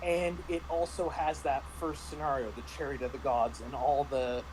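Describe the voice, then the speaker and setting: A man in a casual review discussion, talking haltingly with repeated words and false starts. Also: medium pitch, fairly even intonation, darkish tone, a little hiss or hum, low-quality recording. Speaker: panelist reviewing a tabletop roleplaying game.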